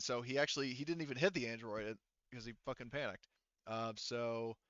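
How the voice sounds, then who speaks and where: A man runs a tabletop roleplaying game in a casual, improvised way. His voice is clear and medium in pitch, and he often pauses to think out loud. The recording is close and dry, with a slightly muffled top end. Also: dry recording. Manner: halting, thinking aloud while working out rules. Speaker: game master.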